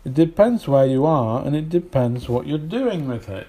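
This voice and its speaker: A man's voice: on the high side, animated, normal speed, warm tone. A man from Britain whose British English accent carries some Polish influence, teaching an informal English lesson in a rambling, hesitant way with many fillers and restarts.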